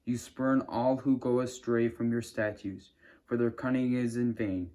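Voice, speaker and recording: A man's voice: mid-range voice, flat delivery, unhurried, dark tone. A man reading verses of scripture aloud. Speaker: Bible reader.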